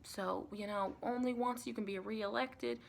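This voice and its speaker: An American woman playing a sweet, reassuring vampire in a chatty, rambling ASMR roleplay, with a creaky voice. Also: a little high, fairly even intonation, unhurried. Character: sweet, reassuring female vampire welcoming a new recruit.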